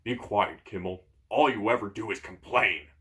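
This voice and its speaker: A gruff orc guard from a fantasy storybook, done by a man. The voice is harsh and snarling, with a grumbling, ill-tempered delivery. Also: on the low side, moderate pace, a bit bright, close to an everyday voice. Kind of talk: fantasy gamebook read aloud.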